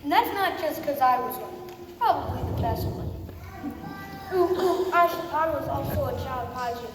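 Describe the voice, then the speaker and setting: A woman's voice: sits high, expressive, normal speed. A young girl of about eleven playing a boastful, squeamish composer in a scripted comic school play. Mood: boastful, cheeky. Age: child, about 11 or 12. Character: boastful, squeamish former child prodigy composer. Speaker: student performer in readers theater. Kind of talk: scripted comic stage recitation. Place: school performance in a large echoey room. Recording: echoey hall, far mic, clearly noisy.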